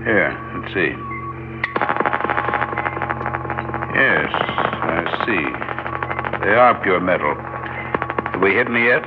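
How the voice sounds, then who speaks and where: Measured older scientist, deep voice. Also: male voice, animated. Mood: cautious. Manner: measured, deliberate, pedantic. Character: older, cautious, rational male scientist on a space expedition. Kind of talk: old-time radio drama acting. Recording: dull old radio, music behind.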